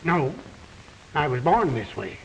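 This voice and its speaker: Wry, joking hotel clerk; echoey old radio recording. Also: male voice, a little high, sing-song, slow, creaky, heavily acted. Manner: wisecracking, chuckling. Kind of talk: 1940s radio acting. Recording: old radio recording with long reverb and faint hiss.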